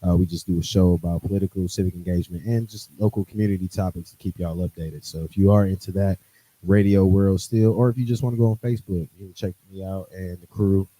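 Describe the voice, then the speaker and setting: A man with a fairly low voice introduces himself on a podcast. His talk is relaxed and easygoing, like a radio regular chatting with friends. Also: fast, no room sound, rough recording. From Milwaukee, USA. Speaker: podcast guest, radio host. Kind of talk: casual chat.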